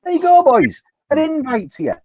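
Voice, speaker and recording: A Yorkshire man bantering on a live stream, swinging his voice up high in mock taunts. His speech leaps around in pitch. The sound is dry and close, with little room in it. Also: very animated, normal speed, a little mellow, dry recording. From Yorkshire, England. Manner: jokey, taunting, rising to high mocking tones.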